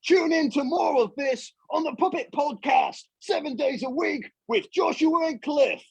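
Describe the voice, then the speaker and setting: A man's voice: a little slow, dry recording, heavily acted. A man voicing a brash, boastful puppet who plays the ladies' man, with a pushy, emphatic way of talking in a comic interview. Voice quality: high, creaky, raspy. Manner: cocky, pushy, emphatic, repeats himself. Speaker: puppet podcast guest.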